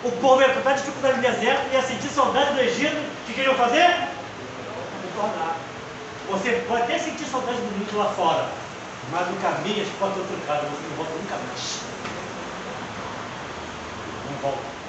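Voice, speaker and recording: A Brazilian man in his fifties delivers a fervent seminary lesson, pressing his listeners with rhetorical questions and personal testimony. His voice sits high and rings through a very echoey room. It is caught from a distance, with steady background noise. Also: brisk, pauses often, dull audio. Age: 50s. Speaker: seminary teacher. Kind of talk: preaching lecture on the Psalms. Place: classroom.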